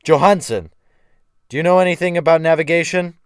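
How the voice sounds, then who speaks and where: A man's voice: a little high, fairly quick, clean tone, dry recording, clean studio sound, pitched above the performer's own voice, lightly acted. A man voicing a brutal, commanding ship's captain who snaps orders with mocking menace in a dramatic audiobook. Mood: imperious. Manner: snapping, barking orders, thundering. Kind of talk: dramatic audiobook character reading.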